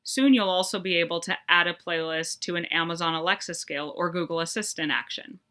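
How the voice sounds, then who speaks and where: A woman presenting a scripted tutorial in a clear, upbeat, instructional manner. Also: lowish voice, fairly quick, pushed and bright.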